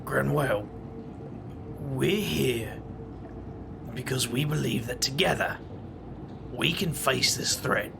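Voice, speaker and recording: A man with a gravelly voice playing a wry hero in a tabletop roleplay, dry and joking, narrating his own moves. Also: medium pitch, takes it slowly, long pauses, background noise. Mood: earnest. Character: wry young man, a magic-wielder.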